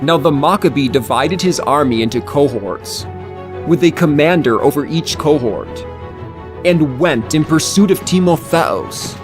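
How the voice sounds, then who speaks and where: Male narrator, slightly higher-pitched, for dramatized scripture: solemn, emphatic, very measured pace. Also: lively intonation, over music. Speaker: audiobook narrator.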